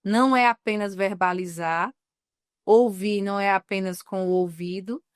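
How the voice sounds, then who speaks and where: A woman journalist from Northeast Brazil talks in an earnest, reflective way and stretches out the words that matter. Her voice is smooth and clear. The sound is dry and close. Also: medium pitch, steady tone, dry recording. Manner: earnest, slows down on key words. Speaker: journalist guest. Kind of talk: reflective interview talk.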